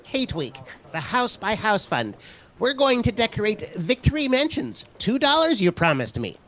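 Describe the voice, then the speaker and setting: A man's voice: sits high, huge pitch swings, moderate pace, a bit bright, full character voice. A man playing a chatty, eager, party-loyal neighbour and proud father in an audio drama. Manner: busybody, boastful, jovial.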